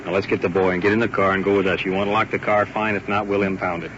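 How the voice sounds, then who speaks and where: Deep voiced cop guy, talks fast and firm, old muffled field recording with loud car noise. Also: level tone. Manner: firm, authoritative. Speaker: police sergeant. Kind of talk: spontaneous on-the-job police questioning. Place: outdoors by police car, later in moving car.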